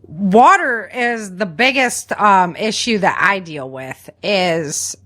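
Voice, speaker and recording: Bright-voiced woman podcast host. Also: mid-range voice, expressive, slow. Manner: chatty, informal, plain-spoken. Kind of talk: casual chat about survival tips.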